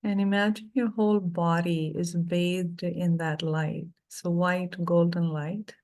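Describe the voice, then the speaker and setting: A middle-aged woman leading a guided meditation, speaking softly and soothingly with long pauses between phrases. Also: on the low side, level tone.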